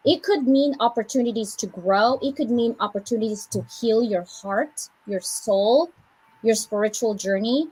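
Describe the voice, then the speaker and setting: Woman, American accent, raised from the Philippines; husky, slightly high voice; motivational co-host with jokes. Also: normal speed, rough recording. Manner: animated, preachy pep talk with joking asides. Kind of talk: casual group chat, motivational.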